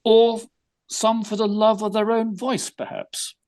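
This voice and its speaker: A man playing a vain gentleman detective, speaking in a pompous, formal, drily witty way. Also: sits high, flat delivery, a little slow. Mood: pompous, dry.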